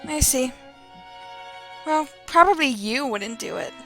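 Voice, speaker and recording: A cool and knowing wise woman who takes her time with every word. Her voice sits fairly high, and she sounds completely unbothered. Violin music plays underneath this radio play. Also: heavily acted. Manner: slow, calm, unbothered, measured. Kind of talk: old-time radio drama acting.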